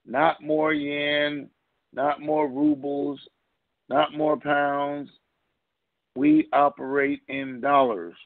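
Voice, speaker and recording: Gravelly man on a muffled conference call. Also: on the high side, flat delivery, drawn-out words, no room sound. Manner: emphatic, repetitive, rhetorical questions. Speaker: call show co-host. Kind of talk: informal opinion talk on conference call. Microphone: phone conference line.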